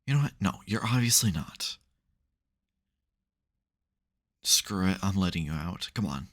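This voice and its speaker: A man playing an exasperated but secretly caring supervillain in an intimate ASMR audio roleplay, wry and gravelly. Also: low voice, expressive, a little fast, a bit bright. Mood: concerned, bossy.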